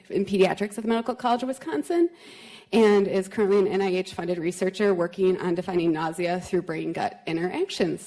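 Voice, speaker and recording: A woman giving a formal introduction to a grand rounds speaker, reading prepared remarks with soft fillers in a lecture room. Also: medium pitch.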